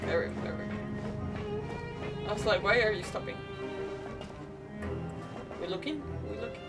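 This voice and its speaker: Woman game streamer, lively swooping pitch, slightly distant mic, casual, playful, self-interrupting muttering. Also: measured pace. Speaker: game livestreamer. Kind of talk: casual gameplay commentary. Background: game music.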